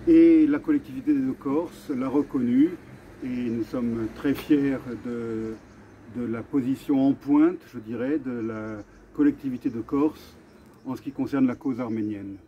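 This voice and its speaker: A man hosting an earnest commemorative address to camera, speaking deliberately with halting pauses and repetitions. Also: medium pitch, fairly even intonation, a little hiss or hum. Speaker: video host and association vice-president. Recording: dull, muffled lo-fi sound.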